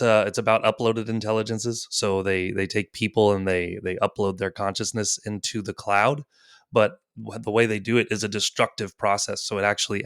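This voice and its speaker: A man's voice: on the low side, a bit bright, no echo, clean studio sound. A man in his thirties hosting a casual gaming podcast, rambling with lots of fillers and easy laughs. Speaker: podcast host.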